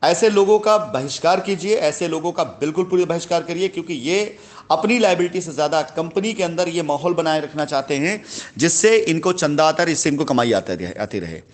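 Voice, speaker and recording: Man, somewhat high-pitched, emphatic, indignant, formal monologue, company head addressing customers, repetitive stressed phrasing. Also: animated, brisk. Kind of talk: voice note announcement, speech-like monologue.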